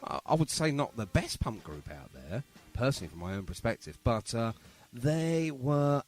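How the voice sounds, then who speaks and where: A male radio host in casual interview mode. His pitch moves up and down in a lively way as he rambles along, chatty and ready with a joke. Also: moderate pace, soft background music. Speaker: radio show and podcast host.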